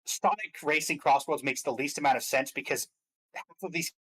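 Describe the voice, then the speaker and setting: A man's voice: fairly high pitch, a little fast, fairly bright tone, husky, low-quality recording. A man chatting casually and giving his opinions as a guest commentator on games. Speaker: guest commentator on game awards stream.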